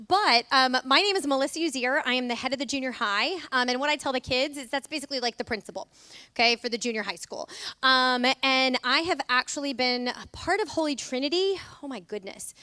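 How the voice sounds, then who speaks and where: A middle-aged woman from the United States with a loud, fairly high voice that comes across clean and smooth. She welcomes a room of parents in a chatty, rambling way, full of fillers and asides. The sound is close and dry. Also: dry recording. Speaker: school administrator. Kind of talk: casual welcome talk to parents. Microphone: microphone.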